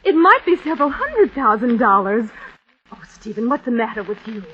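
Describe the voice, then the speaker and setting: An actress playing a curious, eager wife who talks in a chirpy, pressing way, in 1940s radio drama style. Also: female voice, on the high side, big pitch swings, moderate pace. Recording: muffled old radio broadcast with hiss.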